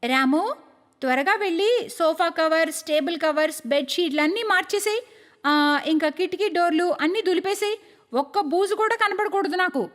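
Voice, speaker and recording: Woman as young bossy housewife, gushing, dramatic, high voice, cartoon story, reverberant. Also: normal speed. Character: scheming, sugary young newlywed sister-in-law, bossy at home. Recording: long hall-like reverb.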